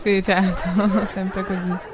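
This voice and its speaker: A woman's voice: mid-range voice, flat delivery, takes it slowly. A woman acting as a friend and interpreter, giving brief, cheerful replies. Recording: loud crowd and background noise. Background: loud crowd noise.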